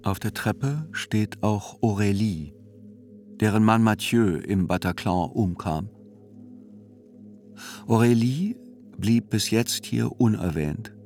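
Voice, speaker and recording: A man with a fairly low voice narrates a literary court report for radio. His delivery is measured, dry and articulate, with music clearly playing underneath. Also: a little mellow.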